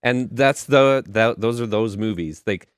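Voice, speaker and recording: A man co-hosting a casual podcast, halting as he explains and acting out imagined dialogue in an animated way. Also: on the low side, clear, resonant tone, dry recording, clean studio sound. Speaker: podcast co-host.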